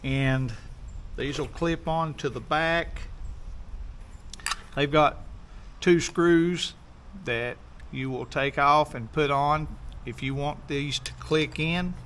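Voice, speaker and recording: A man walks through an unboxing in a relaxed, folksy drawl, pausing often with little fillers. His voice sits a touch high for a man, and he talks like he's outdoors in a park. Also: slight background noise. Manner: relaxed, folksy, many "uh" pauses. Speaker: video host doing an unboxing. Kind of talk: casual unboxing walkthrough.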